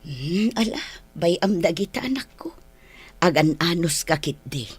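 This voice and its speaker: A woman playing a gentle, pitying mother in a radio drama, soothing her child in a husky voice. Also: lowish voice, lively intonation, unhurried, exaggerated voice. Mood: consoling.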